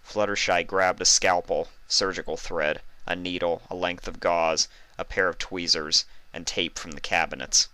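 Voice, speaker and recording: A man's voice: low-pitched, steady tone, moderate pace, hardly any pauses, sharp tone, rough recording. A man reading fan fiction aloud as scripted narration.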